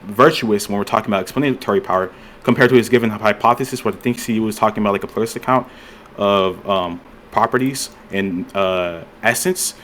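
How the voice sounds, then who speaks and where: A man's voice: fairly low pitch, brisk, a bit bright, a little hiss or hum. A man moderating a voice-chat debate, hesitant and full of fillers as he clarifies the arguments.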